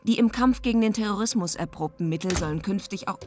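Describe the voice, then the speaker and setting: A woman's voice: medium pitch, quick pace, darkish tone, soft background music, close to an everyday voice. An actress playing a driven, outspoken woman investigative reporter in a radio drama. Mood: crisp, reporting.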